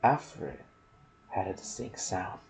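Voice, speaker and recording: A soft-spoken man hosting an ASMR video about game lore, with a hushed delivery that slips into whispers. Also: deep voice, big pitch swings, unhurried, slight background noise. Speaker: video host and gaming content creator.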